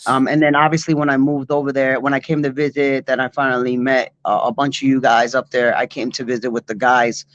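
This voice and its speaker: A man in his forties from Puerto Rico, chatting easily in a relaxed interview. He talks in loose, rambling runs full of "um" and "like". His voice sits at an ordinary middle pitch, and the recording sounds dry and a little dull. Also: brisk, few pauses, dry recording. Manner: loose, rambling storytelling with many fillers. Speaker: guest b-boy. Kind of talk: casual interview chat.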